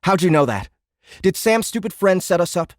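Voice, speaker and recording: A man's voice: sits high, big pitch swings, normal speed, no room sound, clean studio sound. An actor playing a sarcastic, indignant American teenage boy in an audiobook drama, talking in exasperated slang. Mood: angry, mocking.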